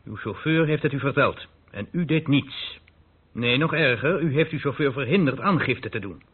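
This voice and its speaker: An actor playing a stern, reproachful police inspector in a radio drama, questioning in a clipped, insistent, authoritative way. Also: male voice, medium pitch, animated, normal speed, husky. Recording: muffled narrow-band old radio recording.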